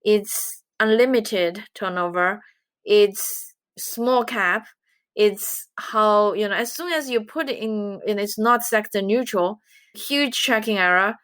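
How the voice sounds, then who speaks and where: A woman from China who speaks English, giving measured, earnest answers as an interview guest. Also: medium pitch, fairly bright tone, no echo. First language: Chinese. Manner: measured, earnest explaining with light laughs. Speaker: guest, investment researcher.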